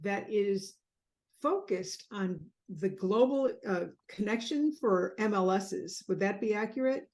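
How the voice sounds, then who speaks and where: A woman hosting an interview, gently leading her guest and complimenting him. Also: mid-range voice, lively intonation, slow. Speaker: interview host. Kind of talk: video interview.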